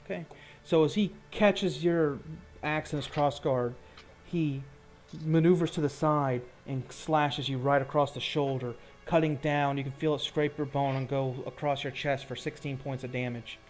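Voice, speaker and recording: A man with a slightly high voice runs a tabletop roleplaying game as game master. He describes combat in vivid, gory detail, then drops into plain rules talk. Faint music plays along with his voice. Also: fairly even intonation, normal speed.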